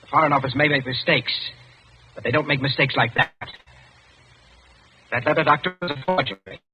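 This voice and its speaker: A man playing a shrewd, commanding colonial governor in old-time radio drama, speaking deliberately and sardonically. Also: mid-range voice, fairly even intonation, bright, forceful tone, lightly acted. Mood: accusing. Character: shrewd, commanding British colonial governor, calmly exposing plotters. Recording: muffled vintage radio recording, music behind.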